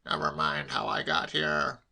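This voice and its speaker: A man voicing an irritable, exasperated older English gentleman in a comic audiobook, testy, strained and emphatic. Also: medium pitch, very animated, measured pace, vocal fry, exaggerated voice. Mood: strained, impatient.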